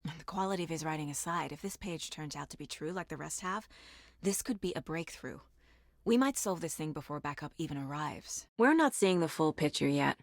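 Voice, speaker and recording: Low-voiced, determined woman detective. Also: animated, fairly quick, dry recording, clean studio sound. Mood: businesslike. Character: determined female detective and mother, sharp and guarded. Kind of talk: video game voice acting, naturalistic.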